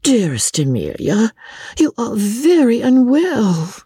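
A woman reading aloud as a charming, scheming young woman, speaking in a coaxing, breathy voice. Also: a little high, big pitch swings, slow, dark tone, dry recording, pitched above the performer's own voice, full character voice. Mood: soothing.

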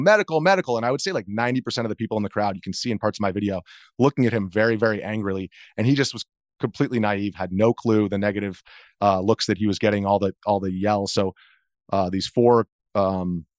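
American guy, 30s, deep-ish voice, dry close sound, thinking out loud in an interview, serious and measured. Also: dry recording. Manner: earnest, measured, thinking aloud. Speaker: interview guest, video journalist. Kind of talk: reflective interview account.

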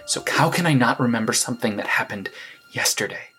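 A rattled young male hero, played by a man, talks to himself in an audio drama case log. He stammers and repeats himself anxiously at a middling pitch. Faint music sits beneath. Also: animated, a little fast, pushed and bright, slightly rough, full character voice. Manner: stammering, anxious self-talk. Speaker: superhero keeping a case log.

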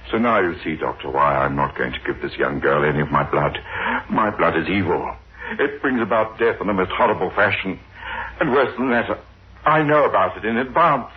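Tormented confessor, 1960s radio horror. Very deep, halting, grave narration; dull vintage sound. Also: male voice, expressive. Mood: anguished. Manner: halting, grave, dramatic delivery. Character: haunted, guilt-ridden man confessing his dark premonitions. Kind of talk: 1960s radio horror drama acting, first-person narration. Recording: dull old radio recording with music.

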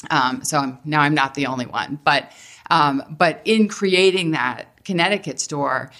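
A woman's voice: sits low, a little slow, fairly bright tone. A middle-aged woman, a franchise owner, talking casually as a podcast guest with lots of fillers and restarts. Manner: hesitant, many fillers and restarts. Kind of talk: casual interview talk.

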